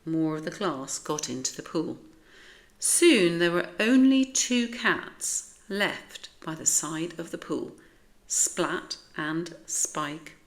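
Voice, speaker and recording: British female narrator for a picture book, slightly low voice, very measured pace, expressive. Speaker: storyteller reading aloud. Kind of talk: expressive scripted children's story narration.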